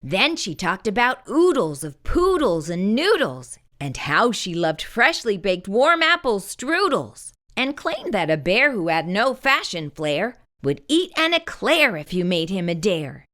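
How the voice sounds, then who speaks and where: A woman hosting storytime, narrating a children's picture book in an animated read-aloud style. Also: medium pitch, unhurried, hardly any pauses. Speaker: storytime host and reader.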